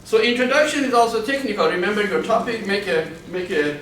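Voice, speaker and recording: High-voiced man lecturing in an echoey classroom. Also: animated, fairly bright tone. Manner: slow, deliberate, carefully enunciated with frequent restarts. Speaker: university lecturer. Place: university classroom. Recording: echoey classroom from far off, faint background noise.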